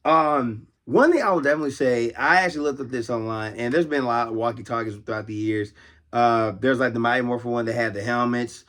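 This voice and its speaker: A man's voice: medium pitch, a little fast, fairly bright tone. A man hosting a casual collector's video, talking off the cuff in a rambling, enthusiastic way and exclaiming over details. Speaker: host of a toy collecting video series. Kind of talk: casual off-the-cuff toy show-and-tell.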